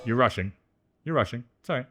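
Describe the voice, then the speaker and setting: Man as bullying music director, condescending and volatile. Sketch comedy, wide pitch swings, loud music. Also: lively intonation, fast talker, close to an everyday voice. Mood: cold condescension. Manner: cutting, condescending, explosive. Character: menacing, domineering band director bullying his musicians. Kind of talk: parody sketch of an intense music drama.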